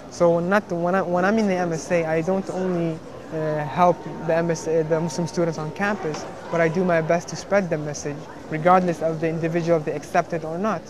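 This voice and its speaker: A young man from Somalia, a student, speaking earnestly in an interview with many pauses and restarts. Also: fairly high pitch, noisy background. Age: young adult. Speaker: engineering student. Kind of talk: interview testimony.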